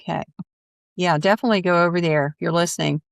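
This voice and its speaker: A woman's voice: lowish voice, steady tone, fast talker, gravelly, dry recording, studio quality. A middle-aged woman hosting a podcast, chatting casually with her guest in an effusive, encouraging way. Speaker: podcast host. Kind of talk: casual interview chat.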